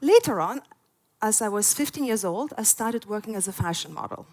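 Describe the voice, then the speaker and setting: A woman's voice: mid-range voice, lively intonation, brisk, a little mellow. A woman in her forties, originally from Lithuania, giving an earnest prepared talk at a festival with frequent "uh" fillers. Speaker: conference speaker. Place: festival stage before an audience.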